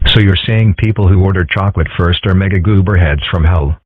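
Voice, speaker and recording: A man voicing a dry, philosophical young cartoon fox boy in a deadpan way for a comic strip dub. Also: low-pitched, fairly quick, no echo. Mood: dry, skeptical. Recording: muffled phone-like audio.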